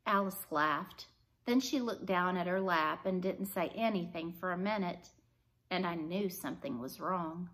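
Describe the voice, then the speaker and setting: Slow-paced woman reading a story. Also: lowish voice, fairly bright tone. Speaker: storybook reader. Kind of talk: expressive scripted story narration.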